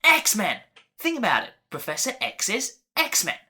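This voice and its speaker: A man playing a cheeky, excitable teenage superhero in an audio drama with boyish exuberance. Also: high-pitched, takes it slowly, heavily acted. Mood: excited.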